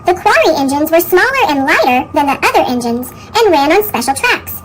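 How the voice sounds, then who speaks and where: A woman reading a children's story aloud in a squeaky, pitch-shifted chipmunk voice. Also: sing-song, brisk, very noisy. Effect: sped-up chipmunk pitch shift. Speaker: storybook reader.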